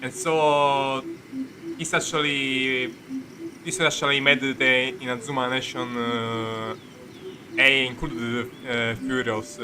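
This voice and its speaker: A man giving casual live game commentary, rambling and halting as he searches for words. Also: mid-range voice, level tone, noisy background. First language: Italian. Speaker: game streamer. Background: game music.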